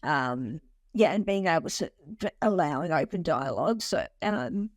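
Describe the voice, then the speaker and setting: A woman's voice: sits low, normal speed, no echo. Chatty woman in her forties, dry sound. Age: 40s. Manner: animated storyteller who laughs often and restarts sentences. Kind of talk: casual conversational interview.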